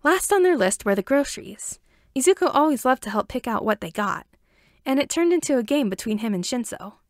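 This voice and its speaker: A woman narrates fiction in an amateur audiobook style. Her voice sits a little high and moves with very animated intonation. The recording is dry and close, with no room sound. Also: fairly quick, no room sound. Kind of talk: scripted story narration.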